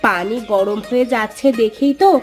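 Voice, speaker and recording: A woman's voice: mid-range voice, fairly quick, soft background music, natural voice. A woman playing a caring village wife and doting mother in a dramatised moral story.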